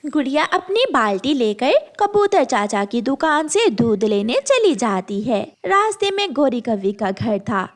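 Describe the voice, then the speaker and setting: A woman reading scripted narration for a children's animal story. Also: a little high.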